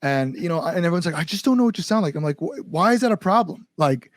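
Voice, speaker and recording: A man's voice: a little high, animated, fast talker, no echo, studio quality. A man with an American Midwestern accent speaking casually as an interview guest, laid-back and joking. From Illinois, US. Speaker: guest, band singer.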